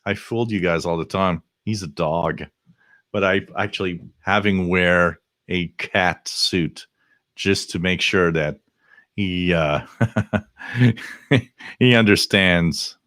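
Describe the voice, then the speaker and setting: A man's voice: sits low, slow, a bit bright, no room sound, clean studio sound. A man hosting a live shortwave radio stream, joking, laughing often and reading out frequencies. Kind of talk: casual live-stream chat.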